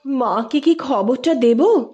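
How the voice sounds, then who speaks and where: A woman's voice: high-pitched, animated, slow, darkish tone, faint music behind. Woman acting a choked, grieving daughter on the phone. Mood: choked, subdued. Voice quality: choked, broken, slurred voice. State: crying. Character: grieving, sobbing daughter breaking news on phone.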